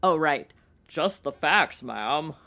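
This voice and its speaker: A brassy, world-weary bar hostess played by a woman from a 1950s radio noir. Her voice sits on the low side but swings freely, familiar and full of old slang. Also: animated, takes it slowly, sharp tone, full character voice. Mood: wry.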